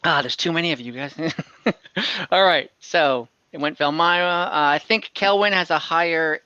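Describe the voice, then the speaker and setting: A man's voice: a little high, a little slow, pushed and bright, clear, resonant tone, no echo, dull audio. A man running an online tabletop game as game master, talking in a casual, informal way.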